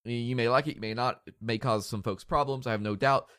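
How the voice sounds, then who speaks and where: A man's voice: mid-range voice, fairly even intonation, fast talker, a bit bright, smooth, no echo, clean studio sound. A man hosting a casual podcast, stuffy and nasal from a cold, rambling and joking at his own expense. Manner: rambling, self-deprecating, joking. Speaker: podcast host.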